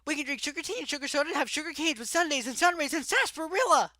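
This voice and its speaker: A woman's voice: high voice, expressive, sharp tone, no room sound, studio quality. A woman voicing a hyper, cheerful young stallion pony who rattles off long breathless lists. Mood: gushing. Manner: rapid breathless rambling lists. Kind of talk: fan audiobook voice acting.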